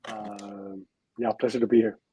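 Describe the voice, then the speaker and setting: A man's voice: mid-range voice, sing-song, measured pace, vocal fry, mellow, slightly rough, dry recording, low-quality recording. A man from Finland talking on a video call as a guest, hesitant with many "uh" and "um" fillers. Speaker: guest expert. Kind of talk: casual interview talk.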